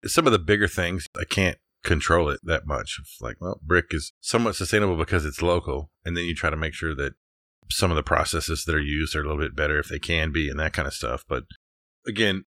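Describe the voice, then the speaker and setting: A man's voice: very deep voice, fairly quick, sharp tone, no echo. A man co-hosting a podcast as an architect, talking casually and conversationally.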